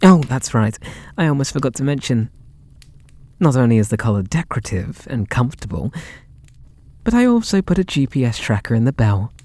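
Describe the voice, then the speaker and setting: An actor with a British accent playing a possessive, lovestruck kidnapper in an ASMR roleplay, sweet and doting in a theatrical, intimate way. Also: male voice, mid-range voice, sing-song, a little fast, a little mellow, dry recording, lightly acted. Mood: smug, possessive. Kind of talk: ASMR roleplay audio drama.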